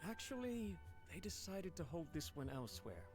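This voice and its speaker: A man's voice: high-pitched, very animated, moderate pace. A man voicing an anxious, humble young servant of a noble clan in a video game, speaking in a nervous, apologetic, earnest way. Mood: uncertain. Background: game music.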